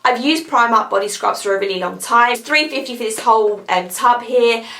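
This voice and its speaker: A young British woman with a croaky voice from a cold, chatting casually as she reviews beauty products she has used up. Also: on the high side, brisk, pushed and bright, reverberant. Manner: chatty, rambling, upbeat. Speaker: beauty vlogger.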